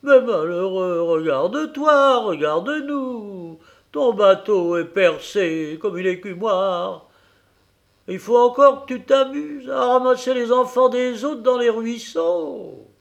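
A man reading aloud and voicing a fierce, gruff bargeman's wife who scolds her husband in a shrill, raised voice. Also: animated, slow pace, heavily acted. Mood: angry scolding. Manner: shrill, scolding, shouting in bursts.

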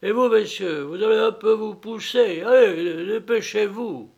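A man voicing a rowdy drunk masked man who bosses and mocks everyone in a loud, jeering way. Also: high voice, a little slow, creaky, husky, muffled recording, pitched above the performer's own voice, full character voice.

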